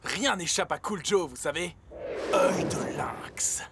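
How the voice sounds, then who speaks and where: A man voicing a vain, excitable young soccer star in a French cartoon dub, boastful and quick to take offence. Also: high-pitched, noisy background, exaggerated voice. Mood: excited.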